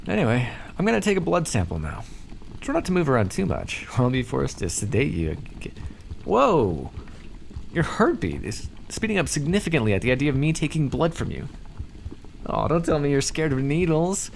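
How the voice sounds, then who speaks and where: Man voicing a gravelly, condescending vampire captor. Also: medium pitch, a little hiss or hum. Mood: businesslike menace, then flustered, curious. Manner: teasing, patronizing, coaxing, hesitant stammers. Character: calm, condescending male vampire captor and experimenter. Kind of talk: ASMR roleplay, scripted monologue.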